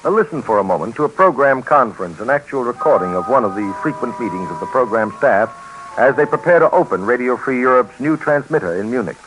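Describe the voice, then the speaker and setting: A man's voice: mid-range voice, animated, fast talker, a bit bright, soft background music. An American man narrating a scripted 1950s radio documentary with a formal announcer delivery. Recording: faintly noisy old radio recording.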